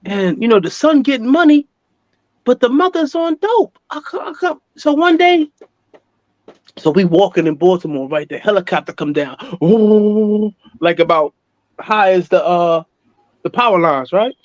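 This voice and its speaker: An American man being interviewed, telling his life story casually in animated street slang, repeating phrases for emphasis. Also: high voice, moderate pace, dry recording, lo-fi sound.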